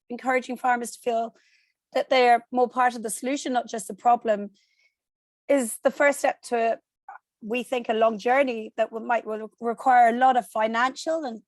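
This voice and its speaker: An Irish woman, hoarse from a cold, giving a steady online presentation over a video call and explaining with frequent ums. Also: on the high side, no room sound. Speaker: guest speaker.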